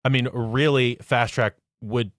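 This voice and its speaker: A man's voice: mid-range voice, fairly even intonation, a little slow, fairly bright tone, dry recording. A man hosting a podcast, chatting in a laid-back way with frequent laughs and fillers. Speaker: podcast host. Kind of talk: casual podcast chat.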